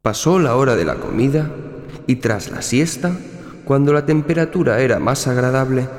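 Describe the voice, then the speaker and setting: A man's voice: mid-range voice, expressive, moderate pace, darkish tone. A man narrating a children's story from a script. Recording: echoing narration with long reverb, faint music.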